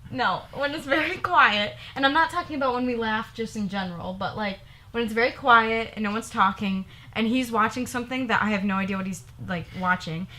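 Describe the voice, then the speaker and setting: A woman's voice: on the high side, a little fast, pushed and bright. A young woman hosting a casual couple Q&A vlog, giggling often and rambling, repeating herself as she talks. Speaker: vlogger.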